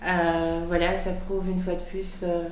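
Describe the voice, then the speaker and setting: A woman's voice: lowish voice, little pitch movement, unhurried, roomy sound, a little hiss or hum, rough recording. A woman, a political candidate, speaking earnestly and with commitment, with frequent fillers. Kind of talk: spontaneous speech. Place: television studio in Brussels.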